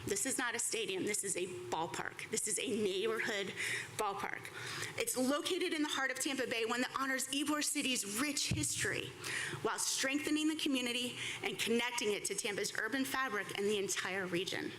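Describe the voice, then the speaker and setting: A woman's voice: on the high side, brisk, bright, forceful tone, rough recording. A woman giving a prepared presentation as a team representative, with an enthusiastic, conversational manner and informal asides. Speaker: baseball team representative presenting at a press conference. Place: indoor press conference room before an audience. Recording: live room with clear background noise.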